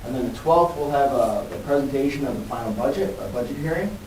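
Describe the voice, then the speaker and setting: A man's voice: medium pitch, fast, a little mellow. Echoey, distant man presenting a budget. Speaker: school budget official presenting. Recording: echoey, distant mic, faint noise.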